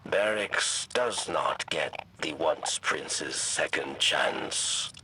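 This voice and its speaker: Game role: ancient male alien schemer, extremely low and gravelly, drawn-out halting delivery, cryptic, over noisy ambience. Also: animated, pushed and bright, full character voice. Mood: bitter regret. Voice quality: gravelly, croaking. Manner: halting, cryptic, refers to himself in third person. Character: old, scheming, weary male insectoid alien elder. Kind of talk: video game dialogue acting.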